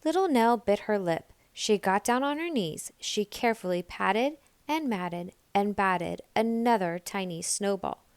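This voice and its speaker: Slow, clear woman reading a children's story. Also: medium pitch, dry recording. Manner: deliberate, expressive storytelling. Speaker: storybook reader. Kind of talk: children's read-aloud, scripted narration.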